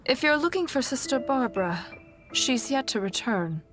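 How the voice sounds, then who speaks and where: A woman voicing a polite, gentle, concerned nun in a video game, speaking in a measured, formal way over music. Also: sits high, animated.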